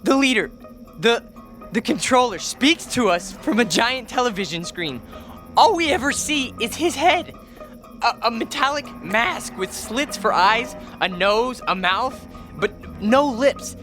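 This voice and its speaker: An actor playing a desperate young alien man posing as human, speaking urgently and haltingly in a radio drama. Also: very high voice, expressive, pushed and bright, soft background music, heavily acted. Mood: grave, revealing.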